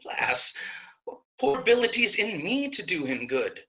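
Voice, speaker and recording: Man as young novice, anxious, earnest, hesitant, intensely bright tone, Shakespearean. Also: high-pitched, sing-song, moderate pace, exaggerated voice, rough recording. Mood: doubtful. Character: anxious, modest young novice nun, hesitant but dutiful. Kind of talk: Shakespearean staged reading.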